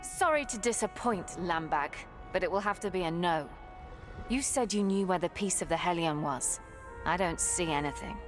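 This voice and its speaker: A woman's voice: lowish voice, animated, moderate pace, natural voice. A woman playing a defiant, weary fantasy warrior heroine in a video game, with a blunt, wry delivery. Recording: loud music behind.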